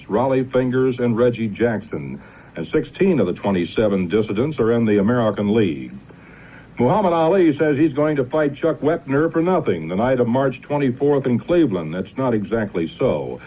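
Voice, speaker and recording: A man reading network news and sports in a crisp, wry style. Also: medium pitch. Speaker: network newscaster. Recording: tinny 1970s radio broadcast.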